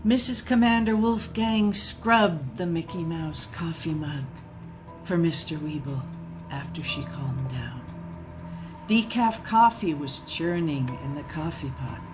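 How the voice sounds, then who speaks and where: A woman reading a comic story aloud in a dramatic, mock-serious, deliberate storyteller's manner. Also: low voice, music in the background.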